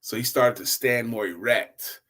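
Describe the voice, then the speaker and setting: A man's voice: medium pitch, a little slow, pushed and bright. A middle-aged man hosting an informal motivational storytime, repeating key phrases for emphasis like a seasoned speaker. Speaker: storytelling host, sales coach and speaker.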